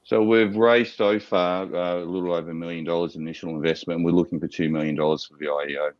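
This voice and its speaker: An Australian man, a startup founder, answering investor questions on a video call in a halting way with many fillers and restarts. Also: deep voice, fairly even intonation, no room sound, dull audio. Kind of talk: business pitch and Q&A.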